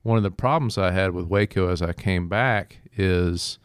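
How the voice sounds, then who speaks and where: A middle-aged man from East Texas with an American accent, explaining things clearly as a history professor co-hosting a conversational podcast. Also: sits low, normal speed, no echo, clean studio sound. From East Texas, United States.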